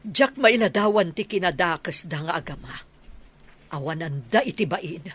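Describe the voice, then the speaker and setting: Radio drama mother, older, low and husky female actor, tender yet indignant, with big swings in pitch. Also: expressive, moderate pace, fairly bright tone. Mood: bitter.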